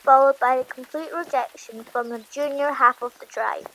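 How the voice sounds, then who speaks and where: Woman playing a gloomy, high-voiced Viking boy. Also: fairly even intonation, normal speed, bright, forceful tone, a little hiss or hum, poor audio. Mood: bitter complaint. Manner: bitter, weary, miserable complaining. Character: gloomy, complaining, sarcastic Viking boy sidekick. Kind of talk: audiobook drama character acting.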